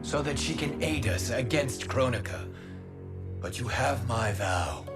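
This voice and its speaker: An actor playing a noble, calm peacemaking god in a fantasy video game, formal and earnest in his speech. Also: medium pitch, unhurried, loud music behind the voice, natural voice. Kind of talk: cinematic fantasy game acting. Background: cinematic score.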